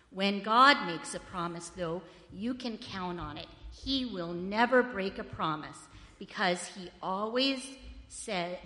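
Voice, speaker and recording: A woman leading a children's story at church, asking the children friendly questions in a loud voice. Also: medium pitch. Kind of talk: children's sermon. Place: church sanctuary. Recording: echoey hall with long reverb.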